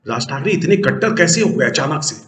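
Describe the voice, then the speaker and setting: A man's voice: on the high side, very animated, a little fast, fairly bright tone. A man hosting a news show, giving emphatic political commentary to viewers and posing rhetorical questions that he answers himself. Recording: roomy, echoing sound.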